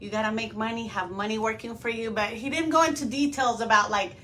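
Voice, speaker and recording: Bright-voiced woman telling her story. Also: fairly high pitch, fairly even intonation, fast. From Venezuela. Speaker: podcast guest. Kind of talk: casual interview storytelling.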